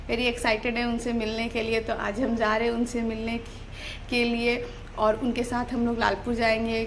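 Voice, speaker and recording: Young woman food vlogger, bright chatty delivery, slightly high voice, light background hum. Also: creaky. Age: young adult. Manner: chatty, upbeat, addresses viewers directly. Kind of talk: casual vlog chat to camera. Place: street food stall outdoors and a room at home. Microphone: phone camera at arm's length.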